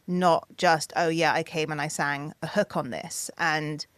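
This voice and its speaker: A woman's voice: sits low, a little slow, dry recording. A woman in her thirties, a singer and producer, telling stories animatedly in a podcast interview and acting out what others said. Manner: animated storytelling, acting out quotes in a raised voice.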